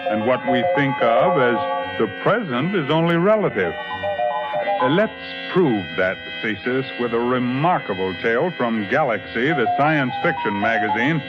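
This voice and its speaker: Vintage radio host, man, over loud music. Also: medium pitch, expressive, unhurried. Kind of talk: 1950s scripted radio narration. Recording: dull 1950s radio recording, loud music.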